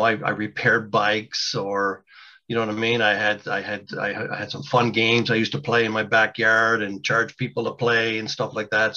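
A man's voice: lowish voice, sharp tone, dull audio. A man in his fifties, a business owner telling his story in a casual interview, rambling with many fillers and restarts.

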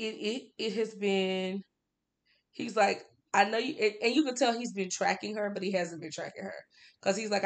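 Candid, emphatic woman podcast host. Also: medium pitch. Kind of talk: casual book discussion.

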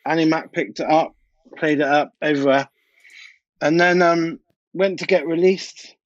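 A man's voice: a little high, level tone, moderate pace, dry recording. Guy, producer telling stories in a casual interview, repeats bits for emphasis, kinda lo-fi and dry. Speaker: DJ and music producer being interviewed. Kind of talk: casual interview chat, anecdotal.

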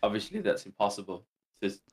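A man's voice: fairly low pitch, sing-song, quick pace. A man giving casual advice to new streamers, hesitant and full of "um"s. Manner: hesitant, many "um"s. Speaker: guest streamer.

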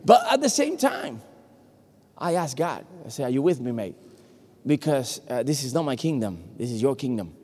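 A man from Puerto Rico, Spanish his first language, with a Puerto Rican accent, preaching an animated, joking sermon to a congregation. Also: mid-range voice, normal speed. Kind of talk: lively sermon. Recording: long hall reverb.

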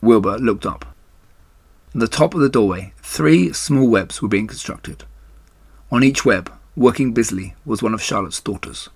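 A man reading a children's book aloud as storyteller, with measured, expressive phrasing. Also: mid-range voice, gravelly, slightly rough, dry recording. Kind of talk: scripted children's book narration.

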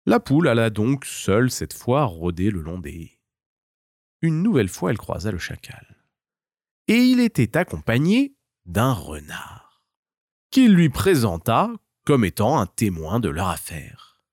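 A man's voice: lowish voice, wildly swooping pitch, unhurried, a little mellow, no room sound, studio quality. A man narrating a scripted children's tale as a storyteller.

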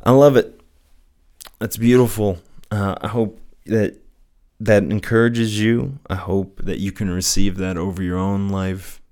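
A man speaks in a deep, soothing voice. His delivery is earnest and gently encouraging, in the reflective style of someone hosting a personal show about faith. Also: fairly even intonation, a little slow. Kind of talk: casual reflective solo talk with scripture reading.

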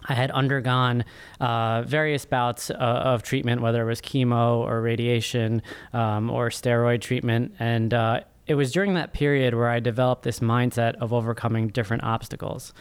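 A man in his thirties telling his own story as an interview guest, earnest and measured, halting between phrases. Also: mid-range voice, steady tone, clean tone. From born in Egypt, immigrated as a small child. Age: 30s. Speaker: podcast guest, first-time author. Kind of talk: interview answers, personal storytelling.

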